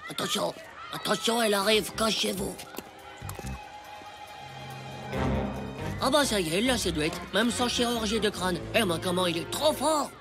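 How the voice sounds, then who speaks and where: An actor voicing a brash, excitable schoolboy full of cheeky schemes in a comedy cartoon. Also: mid-range voice, fairly quick, music in the background, close to an everyday voice. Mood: excited. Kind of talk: animated comedy cartoon acting.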